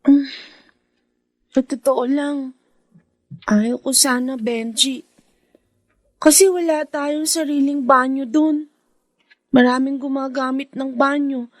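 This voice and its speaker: A reluctant, caring teenage girl in a radio drama, the elder sister of the family. Her voice is very high and youthful, with a hesitant manner, recorded dry. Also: fairly even intonation, takes it slowly, pauses often, no room sound. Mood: reluctant, resigned. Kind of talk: Filipino radio drama acting.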